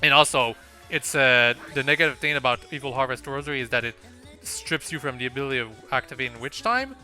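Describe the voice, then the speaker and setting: A man's voice: medium pitch, animated, fast talker, sharp tone. A man hosting a casual video game playthrough, thinking aloud in a hesitant, rambling way. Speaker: gaming video host. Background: video game music.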